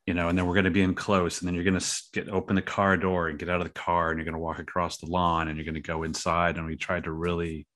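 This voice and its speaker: Guy guesting on a podcast, very low but bright forceful voice, kinda halting. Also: flat delivery. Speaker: podcast guest, writer and creator. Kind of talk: casual interview chat.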